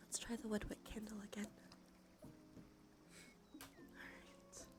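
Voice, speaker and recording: A woman doing gentle, unhurried ASMR in a breathy whisper and soft murmur, her nose slightly stuffy. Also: mid-range voice, monotone. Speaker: ASMR streamer, host. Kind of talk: ASMR, soft intimate role play and rambling. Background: soft music.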